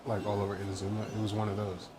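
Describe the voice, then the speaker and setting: A man's voice: lowish voice, completely flat delivery, very fast, background noise. A man giving casual live commentary as a game streamer.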